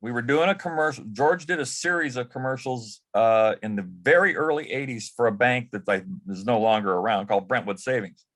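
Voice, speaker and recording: A man's voice: mid-range voice, brisk, fairly bright tone. A man telling an anecdote casually as a panel guest, correcting himself as he goes. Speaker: panel guest, former celebrity assistant.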